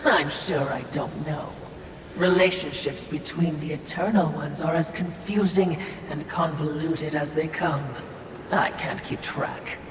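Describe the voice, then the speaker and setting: A woman's voice: sits low, steady tone, a little slow. A woman voicing a sly, sardonic female spirit servant in a video game, sarcastic and falsely deferential. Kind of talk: dramatic video game acting. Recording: echoey, distant, noisy game mix.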